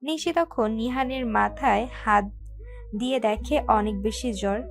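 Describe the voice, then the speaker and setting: A woman's voice: fairly high pitch, a little slow, gravelly. A woman reading out scripted story narration as the narrator of an audio tale.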